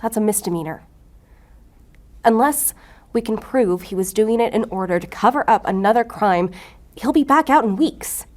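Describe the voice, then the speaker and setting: An actress playing a driven, short-tempered special agent in an audio drama, blunt and confrontational. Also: female voice, medium pitch, expressive, normal speed, darkish tone, close to an everyday voice. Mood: frustrated. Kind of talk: audio fiction acting.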